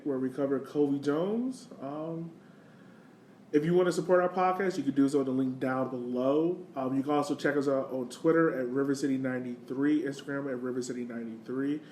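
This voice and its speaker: A man's voice: medium pitch, steady tone, normal speed, a little mellow. An American man co-hosting a podcast, chatting casually about sports. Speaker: podcast co-host. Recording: roomy, distant mic, faint background noise.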